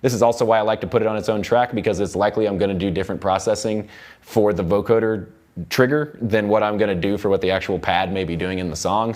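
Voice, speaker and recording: An American man with a low-pitched voice teaches audio production in a recording studio. He explains each step casually and informally, often thinking aloud as he works through the software. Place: recording studio control room.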